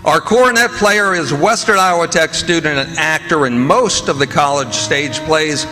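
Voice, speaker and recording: A man's voice: a little high, unhurried, bright, forceful tone, soft background music, poor audio. A man on a theater stage formally introducing the cast and crew of a live radio play to an audience, with occasional ad-libbed asides.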